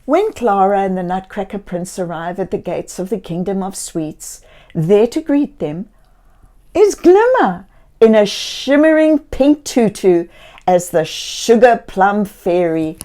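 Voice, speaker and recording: A woman reading a picture book aloud to young children in a theatrical way, slipping into hushed tones and exclamations and gently questioning her listeners. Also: mid-range voice, sing-song, unhurried. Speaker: children's storybook reader.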